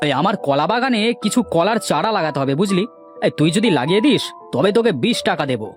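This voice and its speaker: A woman's voice: fairly low pitch, expressive, fairly quick, faint music behind, natural voice. A woman voicing a sly, envious village man in a cartoon moral story, scornful and bossy. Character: sly, grudging village men, scheming and envious.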